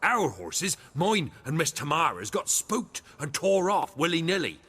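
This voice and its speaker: An actor playing a humble, fearful peasant in a video game, earnest and deferential, telling things in rustic dialect. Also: male voice, a little high, sing-song, a little slow, pushed and bright, slightly rough, heavily acted. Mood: haunted, awed. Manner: rustic dialect grammar, deferential, dramatic storytelling.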